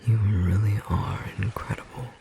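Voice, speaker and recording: A man playing a gentle, romantic storm sorcerer in intimate ASMR roleplay, speaking tenderly in a soft, breathy, slightly rough voice. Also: on the low side, fairly even intonation, very noisy. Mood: admiring, tender. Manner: soft, slow, tender murmuring with pauses.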